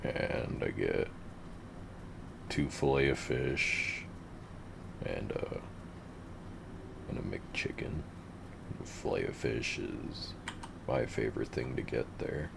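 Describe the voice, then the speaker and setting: A man with a very deep voice giving laid-back, rambling, profane commentary over his game. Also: slow, creaky, pauses often, noisy background. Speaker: gamer narrating his play. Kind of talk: casual gameplay commentary.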